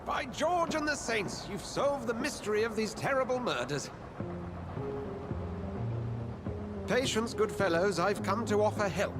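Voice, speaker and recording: A sly, refined English gentleman doctor for a game cutscene. His voice sits fairly high and swings expressively, courteous and theatrical, over prominent music. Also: lively intonation, normal speed. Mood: brisk, courteous. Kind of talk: video game cutscene acting. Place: studio recording with music behind.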